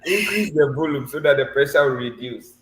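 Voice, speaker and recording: A man runs a mock visa interview over a video call, teasing and encouraging by turns. His pitch swings widely, and he sounds like he is in an echoey room with a faint hum of ambience behind him. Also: expressive, fairly quick, a bit bright. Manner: teasing, laughs often, encouraging.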